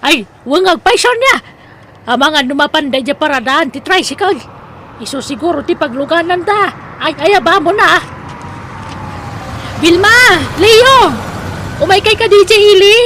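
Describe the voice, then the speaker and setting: A woman's voice: very high voice, animated, normal speed, pauses often, sharp tone, a little hiss or hum, exaggerated voice. A woman playing a frank, hardworking young married woman in an Ilocano audio drama, respectful to her elders. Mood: surprised, calling out.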